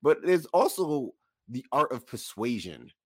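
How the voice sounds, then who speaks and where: A man co-hosting a podcast in casual discussion, making his points deliberately and repeating them for emphasis. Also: mid-range voice, big pitch swings, darkish tone, husky, no room sound. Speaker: podcast co-host.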